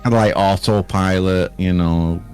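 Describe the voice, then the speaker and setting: Male gaming creator as interview guest, deep voice, relaxed slangy chat, faint music behind. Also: normal speed. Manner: laid-back, slangy. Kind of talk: casual chat.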